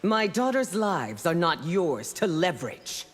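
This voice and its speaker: A woman's voice: fairly low pitch, big pitch swings, measured pace. An actress playing a proud, fierce, commanding mother empress in a video game. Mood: indignant, commanding. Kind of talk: video game fight-intro dialogue. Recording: long hall-like reverb.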